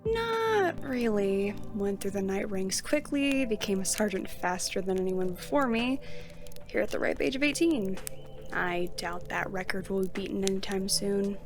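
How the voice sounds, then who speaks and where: A woman's voice: mid-range voice, animated, normal speed, natural voice. A woman playing a brash, resentful eighteen-year-old knight in a fantasy audio drama, talking bluntly and sarcastically. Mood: proud. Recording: music behind the voice.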